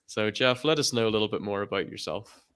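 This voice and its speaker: A man's voice: lowish voice, lively intonation, brisk, fairly bright tone, clean tone. A man in his twenties hosting an interview webinar, upbeat and welcoming. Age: 20s. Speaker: webinar host.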